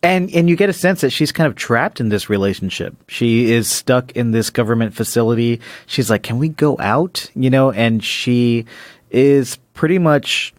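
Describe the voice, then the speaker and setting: A man of average pitch co-hosts a chat show. His delivery is casual, conversational commentary and banter. The sound is dry, with hardly any room in it. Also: no room sound. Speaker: podcast co-host.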